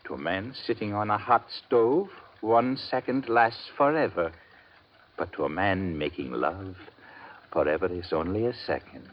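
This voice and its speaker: An actor playing a sly, unruffled pawnbroker in a radio suspense drama, speaking formally and precisely in cryptic, measured phrases. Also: male voice, low voice. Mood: sly, philosophical. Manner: formal, measured, philosophical, precise diction without contractions. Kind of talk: 1950s radio suspense acting. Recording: dull, noisy 1950s radio recording.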